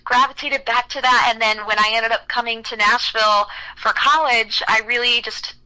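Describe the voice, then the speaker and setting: Bright, chatty young woman singer. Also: fairly high pitch, fairly even intonation, normal speed, low-quality recording. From Cleveland, Ohio. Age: young adult. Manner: upbeat, chatty, lots of "you know". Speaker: country singer guest. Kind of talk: radio interview chat.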